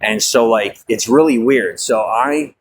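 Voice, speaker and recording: A man's voice: medium pitch, measured pace, a little hiss or hum. An American man with a slight Norwegian-tinged accent, rambling casually with many fillers and restarts. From United States. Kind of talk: casual monologue.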